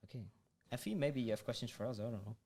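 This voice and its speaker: A young man hosting a student podcast, speaking hesitantly with many pauses and giggles. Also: mid-range voice, a little mellow, husky. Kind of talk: casual interview chat.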